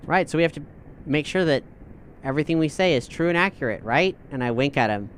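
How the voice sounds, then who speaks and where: A man's voice: a little high, lively intonation, fast, a little hiss or hum. A man playing a tabletop roleplaying game, improvising in an informal, conversational way. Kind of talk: casual improvised game chat.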